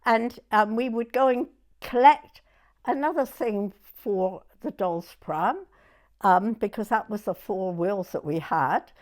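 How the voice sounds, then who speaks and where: A woman in her nineties with a southern English accent, reminiscing in an unhurried, halting way in short broken phrases. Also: mid-range voice, darkish tone. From southern England. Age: 90s. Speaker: oral history interviewee. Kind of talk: personal reminiscence in conversation.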